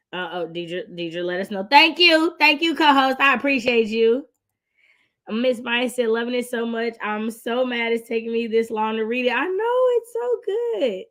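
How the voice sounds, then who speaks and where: A woman with an American accent hosting a book readalong stream in an animated, colloquial, emphatic way. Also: on the high side, unhurried. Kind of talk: casual livestream chat.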